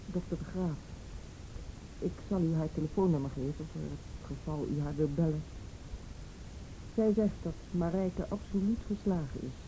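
Policewoman, radio play, low-pitched, very dark tone, blunt, insistent, measured, faint rumbling noise. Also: rough recording. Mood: measured, probing. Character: firm, probing policewoman confronting a mother over child abuse. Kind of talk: Dutch radio play acting.

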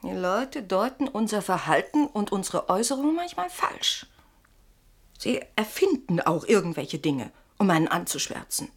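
Probing wife, mid-pitched, lively intonation, insinuating, hushed to urgent, classic radio drama. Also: female voice, normal speed. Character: probing, skeptical wife, calmly needling her husband. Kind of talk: classic German radio play acting.

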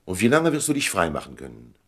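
An actor playing a wise, fatherly diplomat of fifty-six, deliberate and theatrical, in a classic radio play. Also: low voice, wildly swooping pitch, fairly bright tone, husky, heavily acted. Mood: anxious, probing. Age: middle-aged. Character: wise, fatherly 56-year-old diplomat, gently persuasive.

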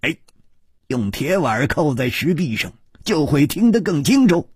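A man's voice: on the high side, sing-song, unhurried, darkish tone, slightly rough, no room sound, clean studio sound, pitched above the performer's own voice, exaggerated voice. A man voicing a sly, chuckling male beggar-sect member in an audiobook. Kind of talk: audiobook storytelling.

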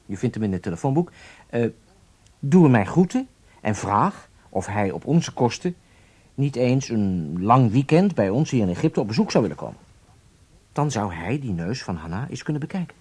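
An actor playing an earnest, deliberate horse-sport entrepreneur in a Dutch radio play, with a husky voice. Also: male voice, medium pitch, big pitch swings, a little mellow. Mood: businesslike, concerned.

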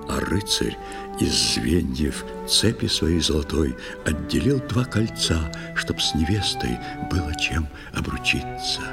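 A man with a lowish, husky voice tells a verse tale as a narrator. His delivery is solemn and theatrical, with wide melodic swings and measured phrasing, over music. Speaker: narrator in an audio play. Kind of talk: dramatic verse storytelling for children. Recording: music under the voice.

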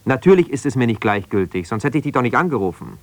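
A man's voice: mid-range voice, very animated, fast, dry recording, close to an everyday voice. An actor playing a middle-aged, self-justifying lawyer left by his wife, talking argumentatively and insistently in a naturalistic radio drama. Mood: brooding, resigned. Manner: argumentative, insistent, hesitating when conceding. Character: ambitious lawyer, abandoned husband, self-justifying, stubborn, defensive.

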